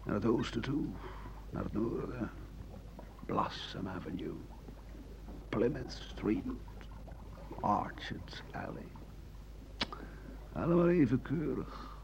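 An actor playing an embittered, war-weary French doctor who broods over his past in a slow Dutch radio play. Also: mid-range voice, sing-song, gravelly, full character voice. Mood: brooding, sombre. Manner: slow, brooding, halting delivery with swings of emphasis. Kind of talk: 1980 Dutch radio play, monologue and dialogue. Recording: dull, noisy old radio recording.

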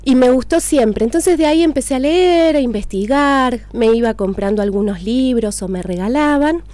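A woman's voice: on the high side, lively intonation, moderate pace, a little mellow, no room sound. An Argentine woman giving chatty, detailed answers in a conversational interview as a teacher. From Argentina, raised in Buenos Aires and Córdoba province.

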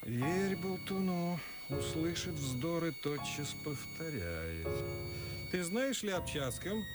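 An actor playing a gossiping society gentleman, theatrical in a radio stage comedy. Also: a little high, big pitch swings, slow. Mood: dismissive. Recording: loud music behind the voice. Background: music.

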